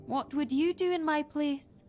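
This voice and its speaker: Measured high-voiced chieftain. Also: female voice, a little mellow. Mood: solemn, deliberating. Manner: deliberate, formal, weighty phrasing. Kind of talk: dramatic fantasy game dialogue. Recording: music behind the voice.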